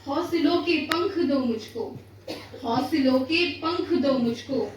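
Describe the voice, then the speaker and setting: A woman's voice: high voice, slow. A woman reciting poetry in a declamatory manner, repeating lines for emphasis. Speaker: poet reciting own poem. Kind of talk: Hindi poetry recitation before listeners. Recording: roomy echo, distant mic, faint noise.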